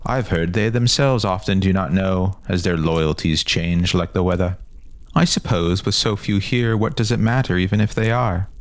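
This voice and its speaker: A man's voice: sits low, moderate pace, dry recording, pitched above the performer's own voice. A man voicing a bossy, worldly older boy who leads a gang in a fantasy story, speaking with knowing confidence.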